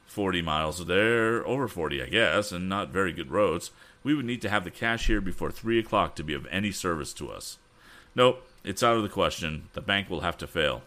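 A man voicing a grave, weary father and banker in a storybook, measured and resigned. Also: deep voice, lively intonation, fairly bright tone. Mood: hopeless. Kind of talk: audiobook character voice.

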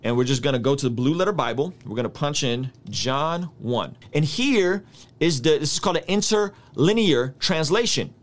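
A man in his thirties, a native Russian speaker, giving an energetic, slangy Bible study lesson. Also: on the high side, normal speed. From United States. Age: 30s. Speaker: Bible teacher. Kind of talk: casual video teaching.

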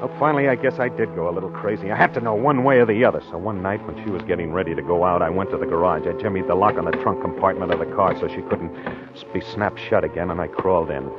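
An actor playing a jealous, tormented newlywed husband in an old-time radio drama, brooding, earnest and emotionally wrought. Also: male voice, sits low, fast, close to an everyday voice. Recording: muffled old radio recording with music.

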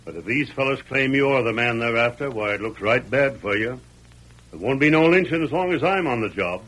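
An actor playing a stern, fair-minded frontier sheriff with a drawling, authoritative manner, in an old-time radio drama. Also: male voice, mid-range voice, lively intonation, brisk, fairly bright tone, low-quality recording. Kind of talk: 1930s radio drama acting.

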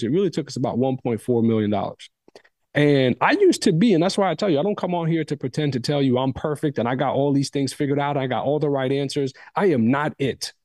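A man's voice: medium pitch, dark tone, no echo, studio quality. A man whose first language is Arabic, giving an emphatic motivational talk as a podcast guest, repeating phrases for stress.

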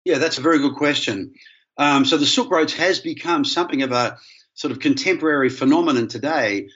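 A man's voice: fairly high pitch, fairly quick, a bit bright, slightly rough. Australian man, slightly dull recording. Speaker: interview guest, history professor. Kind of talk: conversational explanation.

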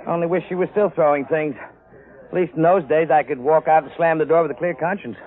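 A man's voice: fairly high pitch, steady tone, fast talker, natural voice. Man playing a weary, wry American henpecked husband. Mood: weary, wry grumbling. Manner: grumbling, wry. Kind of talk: 1950s radio acting. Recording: narrow-band vintage radio recording.

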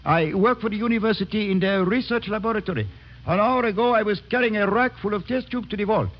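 An actor playing a shaken foreign laboratory worker in a classic radio drama, formal and hesitant, stumbling over words. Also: male voice, sits high, quick pace. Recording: dull vintage radio recording.

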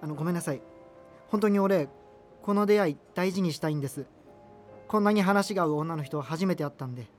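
A man's voice: fairly high pitch, fast, pauses often, natural voice. Radio drama role: male actor as hesitant, earnest young culture geek, wordy and apologetic, over a music bed. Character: awkward, earnest, apologetic subculture-obsessed young man. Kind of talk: naturalistic radio drama dialogue and monologue.

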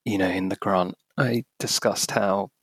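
A man's voice: low-pitched, fairly even intonation, a little slow, fairly bright tone, breathy edge, no echo. A man in his forties with a scratchy voice from illness, speaking hesitantly as a guest in a podcast interview. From United Kingdom. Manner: hesitant, many ums, thoughtful. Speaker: author and academic guest.

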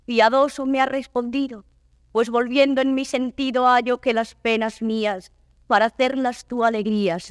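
A woman plays a noble, wandering avenger in classical verse drama, with a fairly high voice and dry sound. She declaims solemnly and courteously, full of proud sorrow. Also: no echo, close to an everyday voice. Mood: sorrowful wonder. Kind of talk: classical Spanish verse stage acting.

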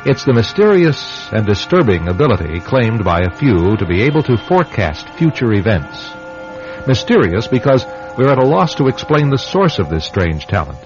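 A man narrates as a radio drama host over music. His mid-pitched voice is very expressive and portentous, measured in delivery. The recording is slightly muffled. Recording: muffled, dull old radio show sound.

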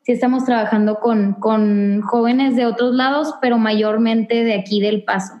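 A woman's voice: on the high side, fairly even intonation, moderate pace, a little mellow, lo-fi sound. Woman in an echoey room. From Monterrey, Mexico. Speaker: youth ministry leader. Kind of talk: casual interview answer. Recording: roomy echo.

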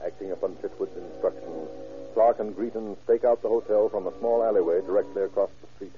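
Low, tinny vintage radio crime narrator, male. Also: fairly quick, over music. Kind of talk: dramatic 1950s-style radio crime narration.

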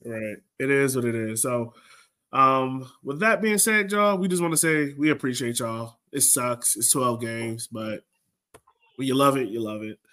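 A man's voice: medium pitch, unhurried, bright, forceful tone. An American man hosting a casual sports podcast, speaking colloquially and repeating phrases for emphasis. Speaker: podcast host.

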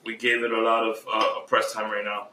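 A man's voice: on the low side, completely flat delivery, moderate pace, sharp tone, a little hiss or hum. A man hosting a casual podcast, joking and often repeating words as he starts a sentence. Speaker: podcast host. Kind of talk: casual chat. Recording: echoey room, mic at a distance.

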